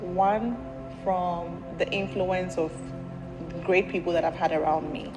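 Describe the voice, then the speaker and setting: Reverberant woman speaking slowly. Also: on the low side, steady tone, over music. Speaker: guest. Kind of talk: interview talk.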